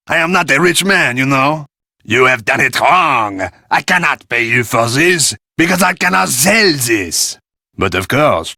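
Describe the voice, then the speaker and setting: Deep-voiced theatrical French shopkeeper. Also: male voice, bright, forceful tone, no echo, studio quality, exaggerated voice. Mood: exasperated, then pleased. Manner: theatrical, salesman-like, courtly. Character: theatrical French male curio dealer, haggling and fussy. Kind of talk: video game character acting.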